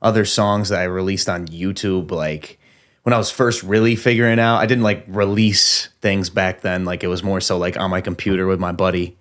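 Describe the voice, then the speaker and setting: A man's voice: sits low, little pitch movement, normal speed, fairly bright tone. A man in his late twenties from upstate New York, a podcast guest rapper who talks in a loose, rambling way with many restarts. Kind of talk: casual podcast conversation.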